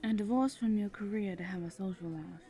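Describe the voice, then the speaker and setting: An actress playing a teasing, encouraging woman confidante, coaxing, playful and often hushed, in a conversational audio drama. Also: mid-range voice, fairly quick, husky, natural voice.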